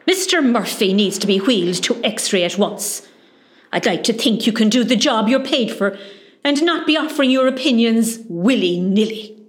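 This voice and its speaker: Woman acting as officious female hospital boss, highish voice, brisk, formal, radio comedy. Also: expressive, lightly acted. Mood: stern, scolding. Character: stern, bossy, officious senior female hospital staffer.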